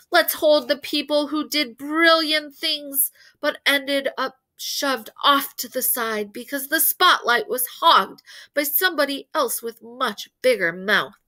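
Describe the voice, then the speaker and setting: Woman acting a keen, high-voiced schoolgirl. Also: pushed and bright. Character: clever, earnest schoolgirl championing underdogs.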